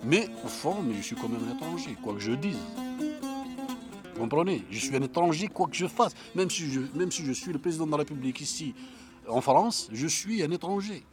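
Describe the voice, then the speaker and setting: A man's voice: on the high side, lively intonation, brisk. Earnest man with music behind. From Algeria. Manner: earnest, repeats himself and restarts, rising emphatic stresses. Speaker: interviewee. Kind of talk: reflective explanation.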